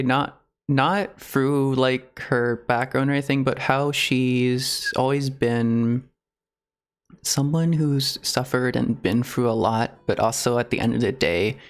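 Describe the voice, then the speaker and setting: A man chatting casually on a livestream in a halting, rambling, giggly way. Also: mid-range voice. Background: video game music.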